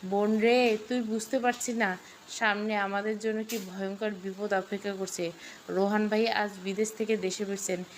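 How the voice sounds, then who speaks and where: A woman's voice: medium pitch, flat delivery, normal speed, slight background noise, close to an everyday voice. Woman voicing an anxious older brother. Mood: worried. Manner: worried, foreboding. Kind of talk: storybook dialogue.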